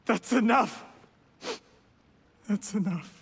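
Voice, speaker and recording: A scheming, courteous young man, higher-pitched. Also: vocal fry, slight background noise, full character voice. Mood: distressed. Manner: polite, measured, calculating. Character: ambitious, scheming young man, smooth, outwardly courteous betrayer. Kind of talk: scripted game voice acting. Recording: background music under the voice. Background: game music.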